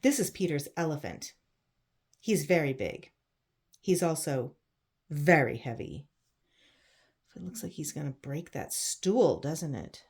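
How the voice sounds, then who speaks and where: Woman reading aloud to children, lowish voice. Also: big pitch swings, a little slow, long pauses, darkish tone, slightly rough. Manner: asks listeners questions, explains simply. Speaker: read-aloud storyteller for children. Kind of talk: picture book read-aloud with chatty asides.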